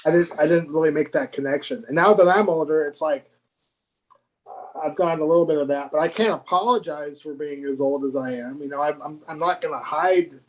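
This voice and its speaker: A man in his fifties interviewing a guest, asking questions in a halting way with many restarts. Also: on the high side, rough recording. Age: 50s. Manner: halting, restarts and hesitant phrasing. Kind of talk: casual conversational interview.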